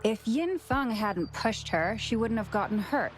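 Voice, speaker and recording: An actress playing an anxious, resentful accomplice to a shady scheme in a video game, fretful in manner. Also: female voice, a little high, lively intonation, measured pace, slight background noise. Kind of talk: English video game voice acting.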